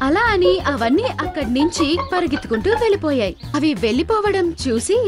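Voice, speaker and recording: High-pitched, lively woman storyteller. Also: fairly quick, music in the background. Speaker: narrator. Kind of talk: scripted children's story narration.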